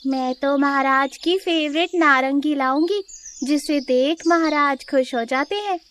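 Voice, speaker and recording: A woman's voice: sits high, measured pace, a bit bright, a little hiss or hum. A woman voicing a vain, sassy, boastful young female deer in a children's cartoon, with a taunting, dramatic manner. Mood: proud. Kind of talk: Hindi children's cartoon voice acting.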